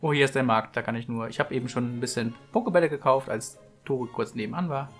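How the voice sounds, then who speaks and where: A man's voice: mid-range voice, fast talker, soft background music. A man chatting casually while playing a video game, rambling and self-mocking with frequent laughs. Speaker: let's play co-host. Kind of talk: casual gaming chat.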